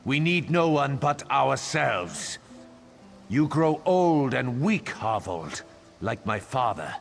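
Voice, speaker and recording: An actor playing an arrogant, hot-tempered young prince in a fantasy video game, with a gravelly voice and a haughty, scornful manner. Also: male voice, medium pitch, sing-song, slow pace, heavily acted. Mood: scornful, defiant. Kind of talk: video game dialogue acting. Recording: long hall-like reverb, music behind.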